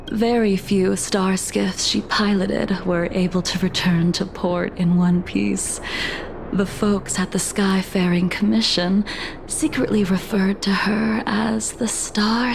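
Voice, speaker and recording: An actress playing a solemn, wistful veteran swordswoman in a video game, speaking formally with a hushed, breathy voice. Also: medium pitch, a little hiss or hum. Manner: formal, composed, deliberate. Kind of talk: English game voice acting, slow and measured. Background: background music.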